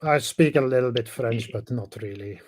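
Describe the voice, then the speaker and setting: A middle-aged man from Belgium, Dutch-speaking by birth, who talks English with a Flemish accent as a caller, halting and restarting often in casual chat. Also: mid-range voice, darkish tone. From Belgium, Dutch-speaking part. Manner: halting, hesitant, many restarts and fillers.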